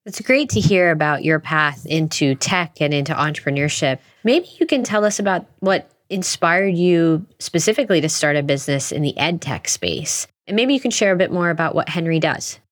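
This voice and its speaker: A woman's voice: low voice, lively intonation, normal speed, hardly any pauses. A woman hosting an interview show, moving between scripted introductions and prepared questions for her guest. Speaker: podcast host and interviewer.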